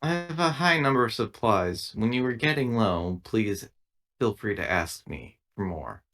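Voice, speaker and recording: A man voicing a courteous, formal android crew member in a tabletop game, speaking in a measured way. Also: on the low side, lively intonation, fairly bright tone. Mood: polite, matter-of-fact.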